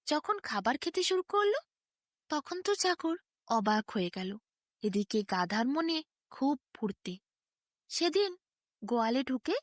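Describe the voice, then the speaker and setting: A woman's voice: on the high side, dry recording, studio quality. A woman storyteller narrating a bedtime tale expressively, in dramatic short phrases.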